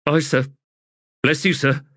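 A man's voice: on the high side, fairly even intonation, a little slow, sharp tone, no echo, clean studio sound, voice pushed up. A man voicing a grizzled, stoic corporal who answers in terse, deferential audiobook lines. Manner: terse, respectful soldier's replies. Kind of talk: audiobook character acting.

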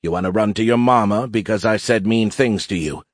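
A man's voice: on the low side, fairly quick, no room sound, studio quality, natural voice. A man voicing a hulking, seasoned alien warrior sergeant in an audiobook, sneering, fearless and taunting. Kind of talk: audiobook character acting.

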